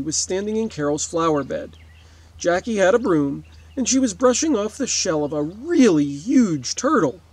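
A man's voice: sits high, darkish tone. A man in his forties, a teacher, reading aloud expressively to children outdoors and explaining as he goes. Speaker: fourth-grade teacher. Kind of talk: read-aloud lesson for children, with asides. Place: outdoors beside a wetland lake.

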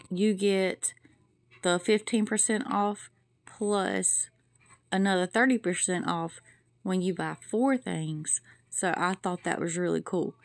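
A woman's voice: mid-range voice, no echo, studio quality. A woman, chatting casually to viewers at home in a vlog and product review. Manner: chatty, folksy, addresses viewers as y'all. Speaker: vlogger. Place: home kitchen and living room.

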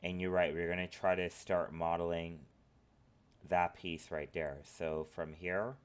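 A man with a cold, sniffling as he talks through a software modeling tutorial at his computer, rambling with lots of filler phrases. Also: low voice, flat delivery, unhurried, clear, resonant tone. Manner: rambling, filler-heavy instructions. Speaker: tutorial host. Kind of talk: screen-recorded software tutorial.

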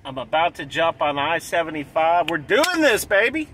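A man in his forties vlogging from inside a car, talking excitedly in a casual, repetitive way and clearing his throat often. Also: fairly high pitch, moderate pace, a little hiss or hum. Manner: hyped, repeats himself, clears throat often. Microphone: dashboard camera close up.

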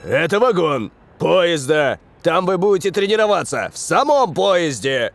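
An actor playing a composed, commanding football coach in a dubbed cartoon, terse and authoritative. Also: male voice, on the high side, very animated, unhurried, gravelly, exaggerated voice. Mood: instructing.

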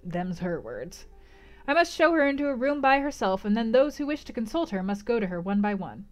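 A plain-spoken footman, respectful but blunt as he reports to the gentry, as a woman reader voices him. The delivery is plain and matter-of-fact, with some lively turns. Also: fairly high pitch, brisk, soft background music. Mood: reluctant.

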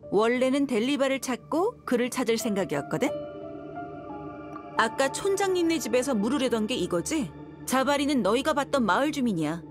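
A young desert mercenary woman from a video game, speaks in a high, swooping voice over music. She is shrewd and self-assured, with a cool, teasing edge. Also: expressive, moderate pace. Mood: confident explaining. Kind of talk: video game voice acting, story dialogue. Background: background music.

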